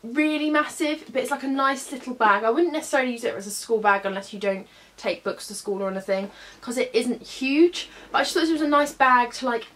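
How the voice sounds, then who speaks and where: A young woman, slightly hoarse from a cold, chatting casually and upbeat through a shopping haul vlog, full of fillers. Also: fairly high pitch, brisk, fairly bright tone. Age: young adult. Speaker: beauty vlogger.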